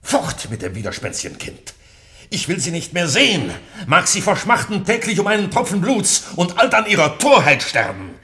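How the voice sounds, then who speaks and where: An aging king and father full of wrath, in classic radio drama style. His delivery is forceful and sharp, leaping widely in pitch. He commands and scolds like a tyrant. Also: huge pitch swings, moderate pace, heavily acted. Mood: furious. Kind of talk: classic German radio play acting.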